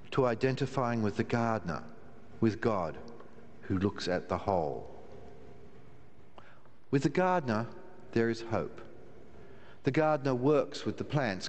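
An older man, a minister, giving a measured sermon from the pulpit of a large church, with a wry touch and slow, emphatic pauses. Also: medium pitch, darkish tone. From Australia. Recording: long church reverb, faint room hiss.

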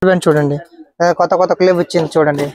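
A man with a Hyderabad Telugu accent, a wholesale shopkeeper who shows his goods and quotes prices in brisk, repetitive bursts. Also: a little high, fairly even intonation, a little mellow, dry recording. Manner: brisk, repeats prices, keeps telling the viewer to look. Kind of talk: walking through a shop showing goods and prices. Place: busy wholesale fancy store.

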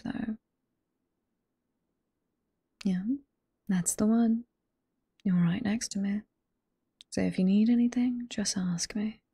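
A woman with a British accent playing a flirty, teasing, sweet head librarian boss in a whispered ASMR roleplay, soft and coy, close to the microphone. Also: mid-range voice, vocal fry, breathy edge, no room sound, full character voice. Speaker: voice performer in roleplay.

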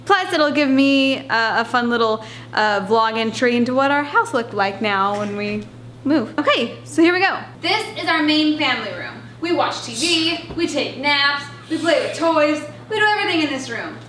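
A woman's voice: high voice, animated, normal speed, fairly bright tone. A young woman chatting casually as she gives a tour of her family house, wistful and full of fillers. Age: young adult. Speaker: family vlogger. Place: suburban family house, indoors. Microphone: handheld camera held close. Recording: echoey rooms, faint noise.

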